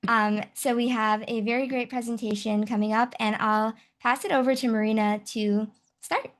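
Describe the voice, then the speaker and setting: A woman's voice: on the high side, fairly even intonation, normal speed. A woman hosting an event, introducing the next speaker in an upbeat, friendly, casual way. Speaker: event host.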